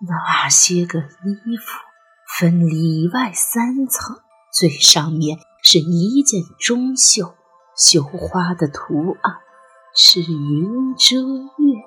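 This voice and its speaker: A woman plays an aged, haunted grandmother in an audio drama. The voice is slow and very breathy, with an imperious, agitated edge and music behind it. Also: on the low side, expressive, bright, forceful tone, heavily acted, poor audio. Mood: trembling, trance-like. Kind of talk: Chinese audio drama acting.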